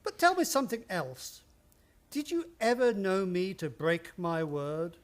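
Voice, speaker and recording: Man acting a smug, swooping rich older gentleman. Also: high voice, animated, measured pace. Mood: taunting. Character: smug wealthy older stepfather, suave, needling, condescending. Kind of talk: radio play acting.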